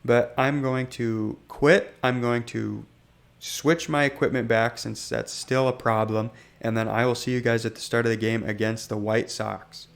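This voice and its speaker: A hoarse young man recovering from illness, casually rambling through gameplay commentary with lots of pauses and fillers. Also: mid-range voice, fairly even intonation. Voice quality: raspy. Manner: rambling, hesitant, lots of ums. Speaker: gaming channel host.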